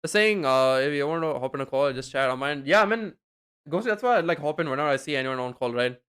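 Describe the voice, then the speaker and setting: A man's voice: a little high, lively intonation, quick pace, a bit bright, clean tone. A young man streaming late at night, chatting loosely with his viewers, full of fillers and casual swearing. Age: young adult. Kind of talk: casual stream chat reading chat.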